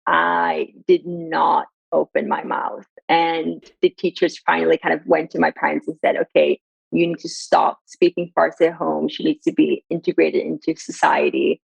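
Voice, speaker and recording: Low, husky-edged woman, muffled and dry. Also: flat delivery, no room sound. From Sweden. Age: young adult. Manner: thoughtful, measured, with frequent "um" and "you know". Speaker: guest, brand director. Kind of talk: podcast interview.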